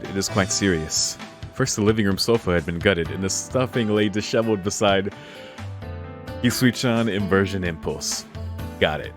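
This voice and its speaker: A man with a fairly low voice does a casual let's-play, reading narration and dialogue out loud. He breaks off for incredulous, profane and joking reactions. Guitar music from the game plays clearly behind him. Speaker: streamer playing and reading a visual novel. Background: guitar music of a game soundtrack.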